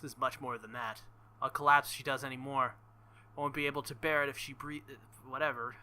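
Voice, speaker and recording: A man reading a visual novel aloud with casual commentary, halting and embarrassed, often breaking into nervous laughter. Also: medium pitch, steady tone, pushed and bright. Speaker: let's play host.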